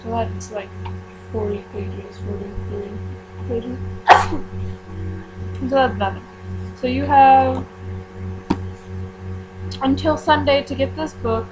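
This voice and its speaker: A woman chatting casually to her viewers as she runs a book club, rambling with frequent ums and quick self-corrections. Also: a little high, very noisy. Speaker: YouTuber running a book club. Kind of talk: casual chat to camera.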